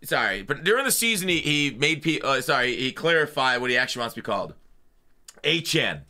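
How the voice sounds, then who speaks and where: A man's voice: mid-range voice, very animated, fairly quick, sharp tone. A man hosting a gaming channel, talking through the news in a casual, off-the-cuff way with blunt, slangy opinions.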